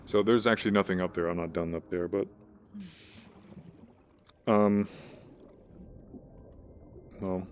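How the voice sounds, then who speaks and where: A man's voice: deep voice, fairly even intonation, takes it slowly, pauses often, slight background noise. A young man streaming a VR game, chatting casually into his headset mic, rambling with lots of "like" and "uh". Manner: rambling, fills talk with "like" and "uh". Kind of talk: casual live-stream chat.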